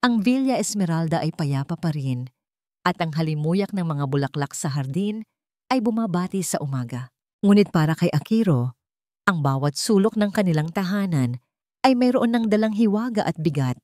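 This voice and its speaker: A woman's voice: lowish voice, expressive, normal speed, a little mellow, no room sound, studio quality. A woman with a Filipino accent reading a scripted dramatic story as a storyteller.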